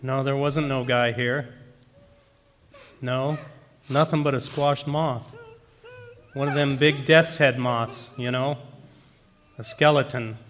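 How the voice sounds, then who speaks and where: A man's voice: medium pitch, fairly even intonation, fairly bright tone. Man acting a folksy forest ranger, echoey hall. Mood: baffled. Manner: folksy, halting, colloquial. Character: folksy, baffled male forest ranger on a telephone. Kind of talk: 1940s-style radio play acting. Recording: echoey hall with background noise.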